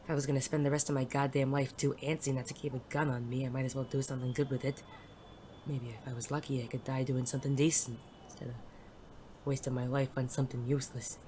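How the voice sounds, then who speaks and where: A performer playing a world-weary, self-doubting male private eye and war veteran in an audio drama, gruff, hesitant and prone to swearing. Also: mid-range voice, flat delivery, fast, a little mellow, natural voice. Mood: troubled, despairing. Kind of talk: intimate audio drama dialogue. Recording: clearly noisy background ambience.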